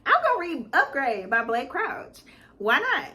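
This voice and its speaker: A woman's voice: sits high, big pitch swings, a little slow, pushed and bright. A woman co-hosting a casual book chat in a playful, teasing manner. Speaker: co-host of a book channel.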